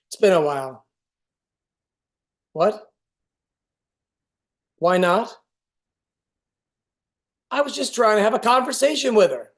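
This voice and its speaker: An actor playing an irritable, defensive father in a staged reading of a play, agitated and argumentative. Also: high voice. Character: irritable, foul-mouthed father, wounded and defensive.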